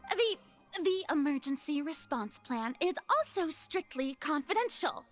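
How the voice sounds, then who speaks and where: High, pompous, theatrical young goddess. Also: female voice, sing-song, a little slow, faint music behind. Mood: flustered. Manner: grandiose, pompous, falters when pressed. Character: theatrical self-important young female god, easily flustered. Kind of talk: anime-style game voice acting.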